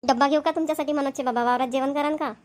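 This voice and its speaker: Woman doing doting cartoon village granny, really high voice, chatty farmer's wife, rural varhadi maharashtra accent. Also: fairly even intonation, very fast, a little mellow, close to an everyday voice. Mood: homely, practical. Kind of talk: Marathi cartoon comedy voice acting.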